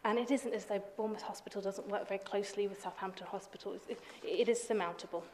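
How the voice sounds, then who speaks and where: A woman councillor argues her position in a measured, steady way, with a few restarts. Her voice sits at a middle pitch. The hall adds a long reverb. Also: slight background noise. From England. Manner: measured, argumentative, some restarts. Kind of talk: council debate speech. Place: council chamber.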